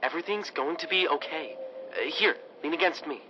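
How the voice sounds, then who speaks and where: An actor playing an earnest, reassuring teenage boyfriend in an old-time radio drama. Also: male voice, a little high, expressive, a little fast, muffled recording, close to an everyday voice. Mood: worried, reassuring. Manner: eager, earnest. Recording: band-limited vintage radio, loud effects noise.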